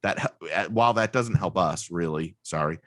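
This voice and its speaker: A middle-aged man with a low, deep voice, recorded dry and close on a compressed studio mic. He tells stories in a rambling, animated way, full of restarts. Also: normal speed, no room sound. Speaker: guest. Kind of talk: casual chat, storytelling. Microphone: studio microphone with compression.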